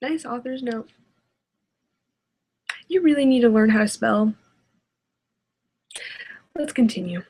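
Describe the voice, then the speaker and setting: High-voiced, slow-paced sarcastic woman. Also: dark tone. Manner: sarcastic, foul-mouthed. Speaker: host of a reaction video. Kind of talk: casual reaction commentary.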